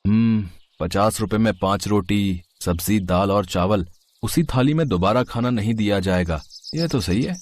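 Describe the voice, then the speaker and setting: A man voicing a poor but shrewd carpenter father, coaxing and ingratiating, in an animated moral story. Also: on the low side, a little hiss or hum, close to an everyday voice. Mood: pondering.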